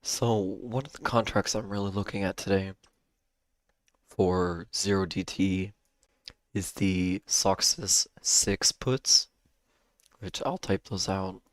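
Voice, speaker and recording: Man, hoarse voice, cough drop in mouth, throat clearing, lowish pitch, dry close sound. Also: slow, dry recording. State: hoarse, losing voice. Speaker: livestream day-trading host. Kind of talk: casual live-stream market talk.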